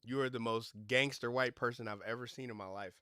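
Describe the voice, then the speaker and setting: A young man streaming a game, rambling and swearing as he tells stories in casual chat, his voice a little hoarse from losing it. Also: mid-range voice, brisk, dry recording, studio quality. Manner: rambling, profane, animated storytelling. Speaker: gaming streamer. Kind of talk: casual livestream chat while gaming.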